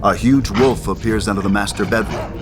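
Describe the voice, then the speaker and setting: Deep-voiced man narrating a horror screenplay. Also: fairly quick, music in the background. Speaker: narrator reading stage directions.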